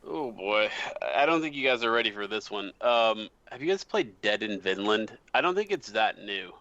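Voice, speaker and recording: Low, sharp-toned man on a muffled mic. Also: lively intonation, normal speed. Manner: loose and rambling, heavy use of "like". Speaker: podcast panelist. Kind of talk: casual chat. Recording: slightly muffled dry mic.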